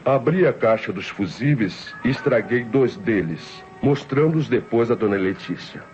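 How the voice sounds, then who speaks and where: An actor playing a cold-blooded, scheming nephew who narrates his murder plot in deliberate, theatrical 1960s radio crime drama style. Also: mid-range voice, animated, a little mellow, natural voice. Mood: calculating, confiding. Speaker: narrator and protagonist. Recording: muffled old radio recording with music.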